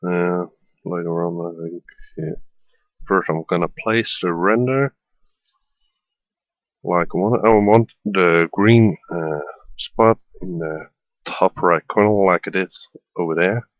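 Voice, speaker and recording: A man from the Netherlands with a Dutch accent, hesitantly narrating a software tutorial as a voiceover with many fillers. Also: low-pitched, takes it slowly, pauses often, no room sound, phone-quality sound. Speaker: tutorial maker. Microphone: voiceover at a computer.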